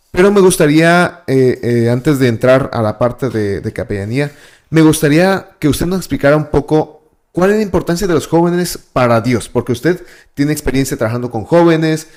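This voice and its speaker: Rambling Mexican podcast host. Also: male voice, fairly high pitch, expressive, normal speed, a little mellow. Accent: Mexican Spanish. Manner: friendly, rambling questions with "este" fillers. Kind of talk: casual interview hosting.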